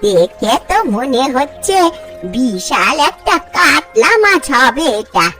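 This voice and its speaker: A woman plays a shrewd older aunt in a cartoon village tale. She urges and advises in an animated voice, with faint music underneath. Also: high-pitched, slow pace, exaggerated voice. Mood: shrewd urging. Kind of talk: cartoon fairy tale dialogue.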